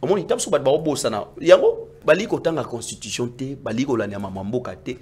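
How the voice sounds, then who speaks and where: A man arguing about politics in a heated debate, emphatic and sometimes nearly shouting. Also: medium pitch, expressive, fast talker. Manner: emphatic, rising to near-shouts when making points. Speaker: political commentator.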